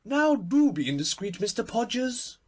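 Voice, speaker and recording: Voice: medium pitch, big pitch swings, normal speed, darkish tone, pitched above the performer's own voice. A performer voicing a gushing, witty, imperious society hostess in a theatrical, emphatic manner for a storybook reading. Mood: imperious, playful.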